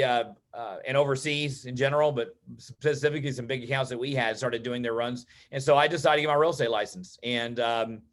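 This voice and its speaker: An American man from Texas tells personal stories as a guest in an online meeting, in an informal, animated way that often acts out dialogue. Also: medium pitch. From Texas, United States. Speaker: interview guest, real estate agent and coach.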